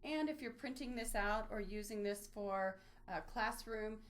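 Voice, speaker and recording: A woman's voice: mid-range voice, unhurried. A woman giving an informal, unscripted instructional walkthrough, explaining things step by step as she demonstrates on screen. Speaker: librarian giving a database tutorial.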